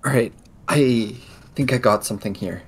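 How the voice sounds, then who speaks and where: A man improvising in-character conversation in a tabletop roleplaying game, explaining things in a hesitant, halting way. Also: medium pitch, husky.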